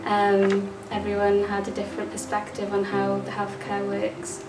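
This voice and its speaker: Young woman, flat steady talk, far mic in an echoey room. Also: mid-range voice, moderate pace. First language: Welsh. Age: young adult. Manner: plain, even telling with fillers. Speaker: physiotherapy student. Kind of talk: casual talk to camera. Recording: roomy, distant mic, music behind.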